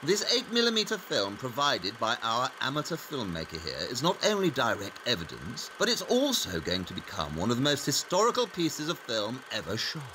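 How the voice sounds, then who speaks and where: A man's voice: mid-range voice, huge pitch swings, moderate pace, few pauses, background noise. An actor playing a brilliant, haughty detective in an audio play, precise, imperious and sardonic in his delivery.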